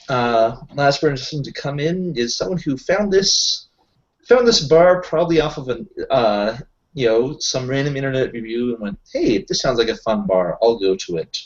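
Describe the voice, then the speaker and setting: A man in a tabletop roleplaying game, casually improvising narration for his character. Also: mid-range voice. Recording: muffled lo-fi voice recording.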